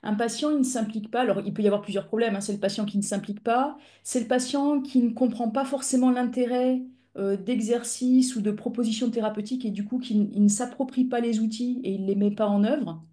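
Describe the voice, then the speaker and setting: Mellow-voiced woman hosting a live webinar. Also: a little high. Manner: measured, explanatory, with frequent hesitations. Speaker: physiotherapist and pain-education instructor, webinar host. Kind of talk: live webinar talk to clinicians.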